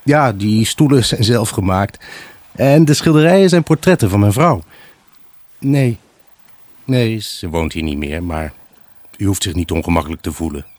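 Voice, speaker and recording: Low, husky, wistful abandoned husband. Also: male voice, lively intonation, darkish tone, no echo. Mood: nostalgic. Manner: halting, pensive, addressing an unseen buyer. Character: wistful, evasive abandoned husband selling his house. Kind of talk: radio drama monologue with acted dialogue.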